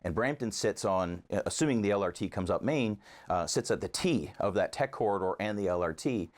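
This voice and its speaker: A man's voice: lowish voice, expressive, a little fast. A man giving conversational interview answers as a local advocate, earnestly arguing his case point by point.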